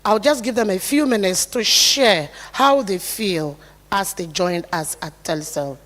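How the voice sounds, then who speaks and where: A woman giving a formal speech at an event, in a low, soft voice, earnest and declarative. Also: measured pace, a little hiss or hum. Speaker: corporate guest speaker. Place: large event hall. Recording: reverberant hall, long echo.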